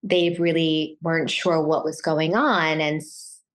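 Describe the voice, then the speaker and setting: A middle-aged woman born in Taiwan, talking casually as an interview guest, often pausing and restarting her sentences. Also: low-pitched, steady tone. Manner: hesitant, many restarts and fillers.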